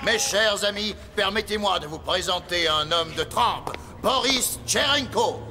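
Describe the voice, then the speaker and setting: A man's voice: high-pitched, measured pace, bright, forceful tone, a little hiss or hum, heavily acted. A man playing a zealous conspirator leader in a cartoon, delivering grandiose, declamatory political oratory. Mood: rousing. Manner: pompous, declamatory. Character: zealous male conspirator leader rallying world powers. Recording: music and crowd behind the voice.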